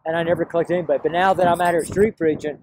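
A man's voice: fairly high pitch, steady tone, racing, darkish tone, slight background noise, poor audio. A middle-aged to older American man preaching informally to camera, rambling and emphatic, repeating his phrases. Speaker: street preacher. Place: outdoors, park path beside a road.

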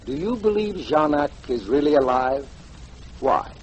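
An actor playing a police inspector posing formal, probing questions in a 1940s radio drama. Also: male voice, a little high, very animated, unhurried, husky, exaggerated voice, rough recording.

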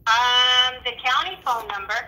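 A woman answering a county office phone, polite but hesitant, pausing often. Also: fairly high pitch, bright, forceful tone, low-quality recording. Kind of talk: phone conversation.

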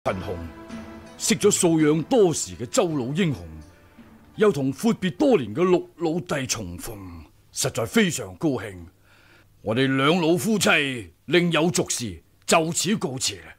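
An actor playing a gruff, suspicious old martial-arts master with a husky voice and a blunt, grumbling manner in a radio drama. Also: male voice, on the high side, sing-song, measured pace, faint music behind, heavily acted. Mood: formal. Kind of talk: Cantonese radio drama acting.

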